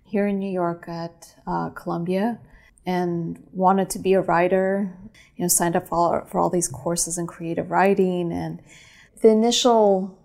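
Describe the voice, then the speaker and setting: A woman in her thirties who grew up in California, answering interview questions in a measured, reflective way. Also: lowish voice, fairly even intonation, vocal fry, darkish tone. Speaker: photographer guest.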